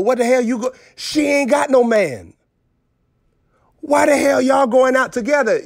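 Male preacher, high-pitched, emphatic and scolding, dry close-mic sound. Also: dry recording. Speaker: prophet preacher. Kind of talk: live streamed sermon.

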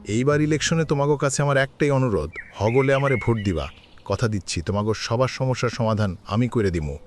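A man voices a self-important cartoon village politician with a rural Bangladeshi accent. The pitch is mid-range and the talk colloquial and self-serving, with slight background noise. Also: fairly quick, natural voice. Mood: promising. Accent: rural Bangladeshi Bengali. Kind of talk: cartoon voice acting.

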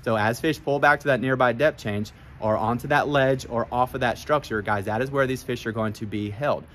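A man, talking to the camera outdoors by a pool, relaxed and explaining step by step with many fillers. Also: medium pitch, brisk, a little hiss or hum. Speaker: fishing guide. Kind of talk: casual instructional vlog.

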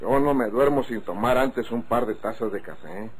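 A man's voice: mid-range voice, moderate pace, natural voice. A man acting a chatty, wary rancher with a Mexican accent in a golden-age radio drama. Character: Don Otilio, chatty wary male rancher. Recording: faintly noisy old radio recording.

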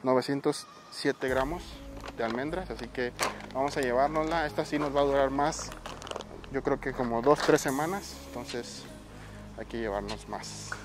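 A man's voice: mid-range voice, moderate pace, music in the background. A man in his thirties with a Mexican Spanish accent, chatting casually in a vlog as he shops for food and counts out prices aloud. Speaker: bodybuilder vlogger. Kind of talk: casual vlog, showing his weekly grocery shopping. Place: supermarket aisles, car and shops.

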